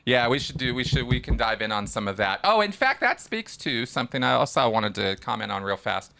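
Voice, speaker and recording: Bright, crisp-voiced male podcast host. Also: medium pitch, a little fast. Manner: relaxed, rambling, many restarts. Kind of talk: casual live call-in chat.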